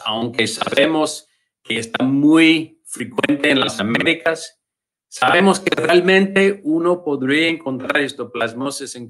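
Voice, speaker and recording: A man with an American accent delivers a conference talk over a computer mic. His Spanish is careful and halting, his pace unhurried, and he doubles back on words as he hunts for the right term. His pitch sits in a moderate middle range. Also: background noise. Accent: American English. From United States. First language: English. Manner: hesitant, repeats words while searching for Spanish. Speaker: invited lecturer. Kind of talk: virtual conference lecture. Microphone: computer mic on a video call.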